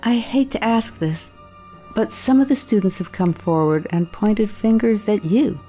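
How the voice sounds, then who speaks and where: A woman acting as a concerned, probing school staff member in an audio drama, with a measured, coaxing manner. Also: mid-range voice, warm tone, faint music behind, lightly acted. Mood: cautious, concerned.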